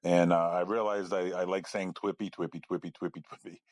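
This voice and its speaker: A man's voice: low-pitched, little pitch movement, a little fast, a little mellow, no echo, studio quality. A man leaving a casual, playful, rambling voicemail as a listener.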